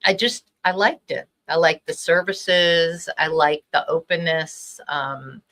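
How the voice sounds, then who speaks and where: A woman's voice: low-pitched, takes it slowly, pushed and bright. A middle-aged woman rabbi from Los Angeles whose first language was Hebrew, reflecting on her life with many ums. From Los Angeles, USA. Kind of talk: personal storytelling.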